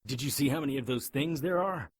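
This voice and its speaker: Man acting a husky, blunt detective hero. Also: mid-range voice, fast talker, no room sound, clean studio sound, natural voice. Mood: urgent. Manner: blunt, wry, urgent. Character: gruff, skeptical amnesiac private investigator hero. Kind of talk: audio drama acting.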